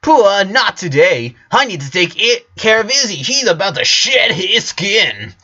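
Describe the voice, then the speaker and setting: A man's voice: high-pitched, big pitch swings, measured pace, pushed and bright, voice pushed up, exaggerated voice. A man voicing a tomboyish little girl with a dismissive, matter-of-fact manner, in a story reading. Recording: dull lo-fi sound.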